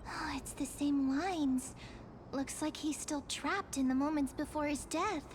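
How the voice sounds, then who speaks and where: An actress voicing a small, cute, excitable childlike creature companion in a fantasy game, exclamatory and full of wonder. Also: female voice, high-pitched, measured pace, a little hiss or hum. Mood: puzzled. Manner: bright-eyed, exclamatory, childlike. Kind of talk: video game story dialogue.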